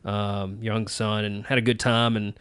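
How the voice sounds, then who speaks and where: A man, hosting a casual podcast chat in a relaxed, easygoing way with plenty of ums and uhs. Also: lowish voice, a little slow, clean tone. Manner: relaxed, easygoing, many fillers. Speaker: podcast host.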